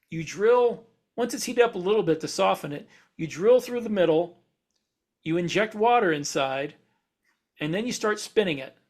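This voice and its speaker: A man co-hosting a podcast, explaining ideas in casual discussion and often restating phrases in a halting way. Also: high voice. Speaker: podcast co-host.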